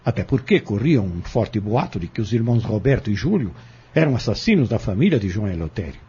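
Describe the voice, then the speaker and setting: A cunning, vengeful defendant testifies in an audio drama, acted out by a man. His voice is very husky and animated, and he speaks deliberately and defiantly. The recording is dull and lo-fi. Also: on the low side, full character voice. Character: cunning vengeful male defendant confessing in court.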